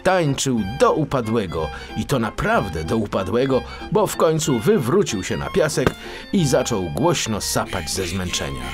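A man's voice: mid-range voice, huge pitch swings, normal speed, music in the background. A man narrating a scripted children's story expressively, as a storyteller.

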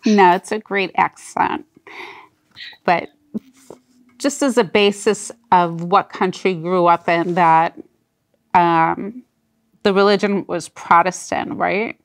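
A middle-aged woman hosting an interview, speaking in a slow, appreciative way. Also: lowish voice, fairly even intonation. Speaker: host.

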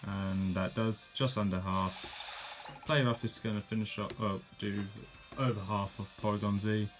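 Guy talking through his game battle, lowish voice, faint game music under it. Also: a little slow. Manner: relaxed, plain narration with fillers and self-corrections. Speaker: gameplay commentator. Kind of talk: casual play-by-play of a game battle.

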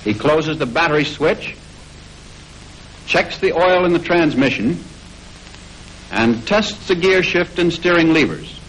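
An American man reading scripted 1940s instructional film narration in a formal, measured way, on an old film soundtrack. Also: a little high, animated, fairly bright tone, slight background noise, poor audio.

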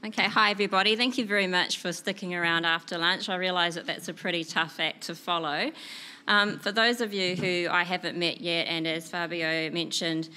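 A woman in her thirties with a New Zealand accent, a PR consultant giving a prepared presentation at a conference, speaking clearly and steadily from notes into a lectern microphone. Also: on the low side, steady tone, sharp tone, slight background noise. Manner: clear, measured delivery from notes. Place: conference room, indoors.

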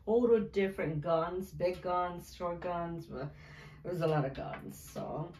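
A woman's voice: on the low side, measured pace, a little mellow. A woman co-hosting a reaction video, chatting casually with her partner. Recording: echoey room with faint background noise.

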